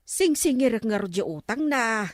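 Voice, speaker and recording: An exasperated Ilocano village councilwoman acted by a woman in a comedy radio drama. Her voice sits fairly high and swings about with animated, complaining delivery, recorded close and dry. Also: measured pace, no room sound. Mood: indignant. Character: village councilwoman, exasperated creditor chasing an unpaid debt.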